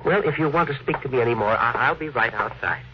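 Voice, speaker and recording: An actor playing an earnest, courteous, dreamy inventor who is hesitant yet insistent, in 1950s radio drama style. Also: male voice, mid-range voice, quick pace, background noise, natural voice. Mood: quietly confident. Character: earnest, polite, dreamy male inventor, quietly persistent. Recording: dull vintage radio recording.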